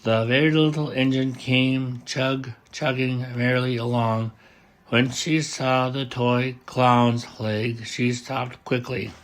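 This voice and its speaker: A man reading a children's picture book aloud as an amateur audiobook, slow and deliberate. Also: medium pitch, steady tone. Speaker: audiobook reader.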